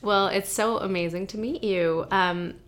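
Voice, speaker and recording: A woman's voice: mid-range voice, measured pace. A woman hosting a podcast, upbeat and enthusiastic as she introduces and interviews a guest. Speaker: podcast host, plastic surgeon.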